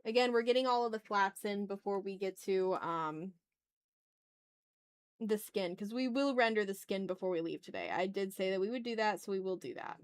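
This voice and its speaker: A woman's voice: mid-range voice, lively intonation, moderate pace, smooth. A woman chatting casually on an art livestream, thinking aloud with self-deprecating remarks and some swearing. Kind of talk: casual livestream chat while drawing.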